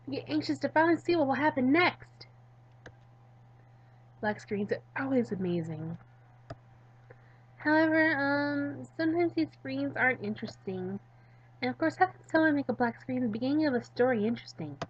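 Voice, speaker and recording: A woman voicing a goofy, excitable, ditzy teenage schoolgirl from a visual novel, rambling and dramatic. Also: sits high, normal speed, pauses often, husky, highs cut off. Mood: dramatic, self-important.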